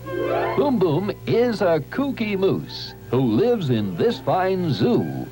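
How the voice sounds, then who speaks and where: A playful storybook narrator, high-pitched and extremely animated, reciting children's rhymes. Music runs beneath the old television soundtrack. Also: male voice, slow, full character voice. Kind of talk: sung-song rhyming children's narration.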